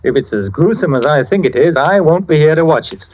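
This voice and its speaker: A dull, faintly noisy old radio recording of a man acting a wry skeptic. His highish voice jumps about, breezy and incredulous. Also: lively intonation, brisk, lightly acted. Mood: wry, uneasy. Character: incredulous, wry male writer friend.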